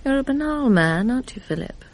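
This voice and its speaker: An actress playing a cool, disdainful middle-aged wife with a British accent in a radio drama, withering and controlled. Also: female voice, high voice, lively intonation, brisk, slight background noise.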